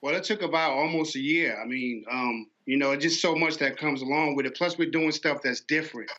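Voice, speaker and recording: A man's voice: a little high, a little fast, sharp tone, dull audio. A man being interviewed as an animation entrepreneur, explaining his business conversationally.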